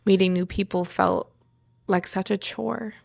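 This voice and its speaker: A woman speaks in a personal, reflective monologue at a medium pitch, with plenty of vocal fry. She pauses between short phrases and repeats herself for emphasis. The sound is dry, with no room echo. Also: fairly even intonation, darkish tone. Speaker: vlogger sharing a personal journey.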